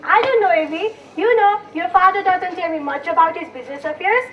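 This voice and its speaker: A woman's voice: very high-pitched, fairly quick. A female student acting an anxious, fussing mother in an amateur stage play. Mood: guarded, defensive. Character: anxious, fussing mother waiting for her husband. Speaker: student actor in a school play. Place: school stage, recorded from far off. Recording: distant, muffled stage recording.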